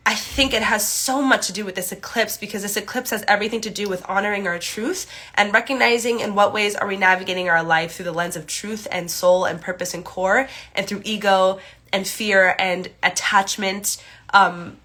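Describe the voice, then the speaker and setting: A young woman speaks through a stuffy, congested nose, her voice bright and forward. She chats casually into a phone held at arm's length in a small room, leaning on "like" and "um" as she goes. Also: mid-range voice, steady tone, normal speed. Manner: chatty, many "like" and "um" fillers, greets viewers brightly. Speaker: astrology livestream host. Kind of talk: casual livestream chat. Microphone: phone camera at arm's length.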